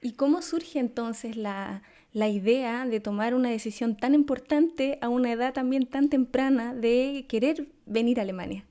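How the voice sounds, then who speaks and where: A woman's voice: on the high side, normal speed, few pauses, dark tone. A woman hosting an interview show, presenting and asking questions in Spanish. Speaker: podcast host and interviewer. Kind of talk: scripted introduction and interview.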